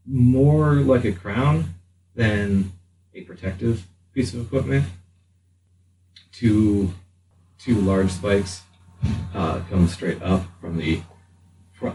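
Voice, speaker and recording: Slow, low-pitched man narrating in a roomy space. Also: a little mellow. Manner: halting, with long pauses mid-sentence. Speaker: game master. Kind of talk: improvised narration of a tabletop roleplaying game. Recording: roomy, distant mic with faint noise.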